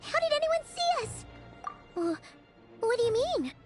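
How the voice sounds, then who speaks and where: A tiny, chatty fairy girl for an anime game. The voice is squeaky and extremely high, and it swoops widely with childlike excitability. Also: measured pace, faint music behind, exaggerated voice. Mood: flustered. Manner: speaks of self in third person.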